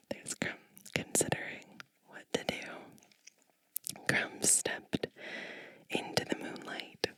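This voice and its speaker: Slow whispering woman, close and crisp. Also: no room sound. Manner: unhurried, gentle, soothing storytelling. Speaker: ASMR host reading a storybook aloud. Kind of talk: whispered ASMR bedtime storybook reading with asides. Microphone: close microphone.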